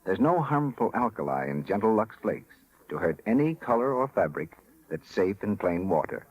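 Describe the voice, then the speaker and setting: An American man announcing in scripted 1930s radio style with a husky voice. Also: lowish voice, sing-song, normal speed, muffled recording. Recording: old 1930s radio broadcast.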